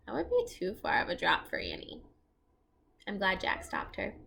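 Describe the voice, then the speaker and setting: A woman reading a children's storybook aloud, expressive and explaining things to young listeners as she goes. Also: mid-range voice, normal speed, creaky, slight background noise. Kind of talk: read-aloud narration with asides to young listeners.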